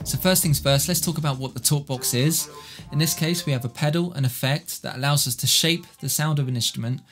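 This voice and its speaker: A man presenting and explaining guitar effects in a casual, instructional video style. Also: mid-range voice.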